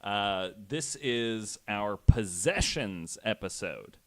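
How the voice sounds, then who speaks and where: A man hosting a podcast, telling a story in a casual, halting, informal way with some swearing. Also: on the low side, expressive, a bit bright. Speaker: podcast host.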